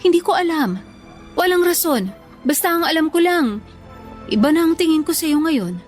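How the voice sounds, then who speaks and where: A woman's voice: extremely high pitch, expressive, normal speed, soft background music. A woman playing a weary, blunt ex-girlfriend in a radio drama, firm and exasperated. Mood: firm, resigned. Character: weary, blunt ex-girlfriend firmly ending a long relationship. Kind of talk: emotional radio drama dialogue.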